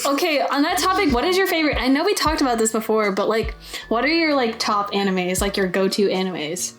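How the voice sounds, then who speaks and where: A young woman hosts a relaxed interview in a voice pitched a bit high. Her talk is informal and giggly, like a chat between friends. Soft music runs underneath. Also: fairly quick. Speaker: interview host.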